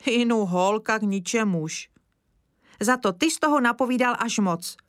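Woman doing an excited, chatty little boy. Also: no room sound, clean studio sound, natural voice. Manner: eager, rushing, raised pitch.

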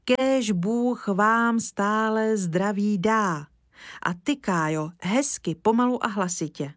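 A woman's voice: medium pitch, fairly bright tone, no room sound, studio quality, natural voice. A woman voicing a caring mother who gives kind but firm instructions. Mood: kindly firm. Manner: kindly instructing.

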